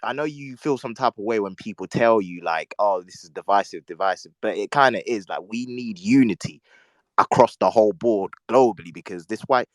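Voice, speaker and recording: A man's voice: lowish voice, brisk, dry recording. A man calling in to a debate, talking in a rambling, hesitant way with many fillers. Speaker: caller. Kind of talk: casual debate.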